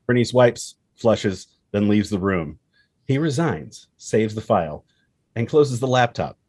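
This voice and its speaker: A man's voice: fairly low pitch, expressive, unhurried, slightly rough. A man reading screenplay stage directions as the narrator of a scripted table read. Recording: loud music under the voice. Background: loud instrumental music.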